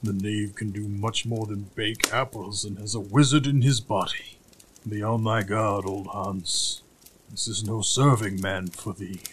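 A man voicing a huge, gruff, dim-witted giant in a fairy tale, with slow, ponderous, archaic speech. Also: on the low side, fairly even intonation, fairly bright tone, slight background noise, rough recording. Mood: alarmed, gruff.

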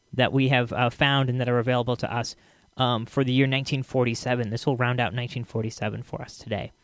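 A man hosting a podcast, introducing an old radio show in a casual, halting way, speaking straight to listeners. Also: medium pitch, dry recording. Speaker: podcast host.

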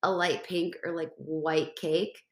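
A woman's voice: sits low, fairly even intonation, takes it slowly, roomy sound. A congested young woman hosting a casual solo podcast, bubbly and rambling, filling gaps with "like". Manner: bubbly, rambling, says like a lot. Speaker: podcast host.

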